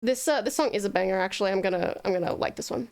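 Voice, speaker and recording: A woman's voice: mid-range voice, very fast. A woman hosting a casual livestream, chatting sweetly and effusively with her viewers and using lots of endearments. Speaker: livestreaming virtual streamer host.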